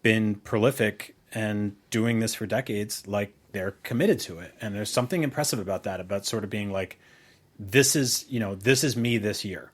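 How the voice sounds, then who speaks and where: Lower-voiced man, casual and rambling. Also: normal speed, husky. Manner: rambling, informal, profane. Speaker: podcast co-host. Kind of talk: casual chat, reminiscing about music.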